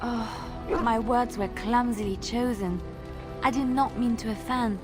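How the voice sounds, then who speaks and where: An actress playing a gentle, earnest young minstrel in a video game, with a French accent and a polite, careful manner. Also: female voice, fairly high pitch, steady tone, unhurried, lightly acted. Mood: flustered, apologetic. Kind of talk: video game character acting. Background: music.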